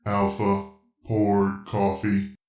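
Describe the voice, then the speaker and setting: A man playing an obedient robot servant in a radio drama, speaking in a flat, stilted way and calling itself by name. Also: fairly low pitch, extremely slow. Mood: flat, servile. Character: slow obedient robot servant made of aluminum. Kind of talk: old-time radio drama acting. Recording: echoey, with music behind.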